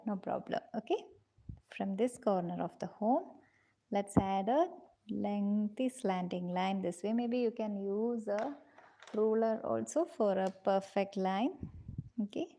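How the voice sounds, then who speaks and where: A woman leads a live drawing class at an unhurried, careful pace. Her mellow voice carries a gravelly edge, and she stays gentle and patient, calling her students dears. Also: animated. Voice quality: low-pitched voice, in her own words. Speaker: drawing teacher.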